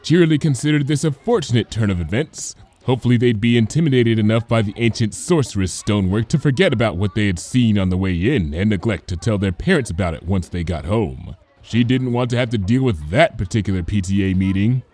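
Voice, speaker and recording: A man reading scripted fan fiction as an expressive storyteller. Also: on the low side, fairly quick.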